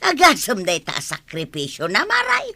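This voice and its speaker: A woman's voice: mid-range voice, wildly swooping pitch, normal speed, bright, forceful tone, full character voice. A woman with an Ilocano accent playing a suspicious, fed-up village wife in a comedy radio drama. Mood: complaining.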